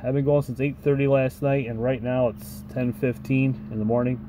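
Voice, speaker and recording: A man's voice: medium pitch, little pitch movement, moderate pace, mellow, a little hiss or hum, rough recording. A man in his forties, a truck driver vlogging in a casual, wry and grumbling way from his cab, tired after a long shift. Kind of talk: casual vlog chat. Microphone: camera at arm's length.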